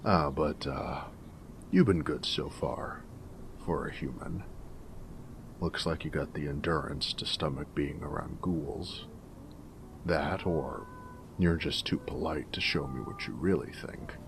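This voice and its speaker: A man playing a snarky but friendly ghoul companion in an audio drama, with a gravelly voice and a wry, joking drawl. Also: a bass voice, slow pace, long pauses, noisy background, heavily acted. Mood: sardonic amusement. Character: snarky, friendly male ghoul wasteland companion, weathered and irradiated. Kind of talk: character roleplay audio drama.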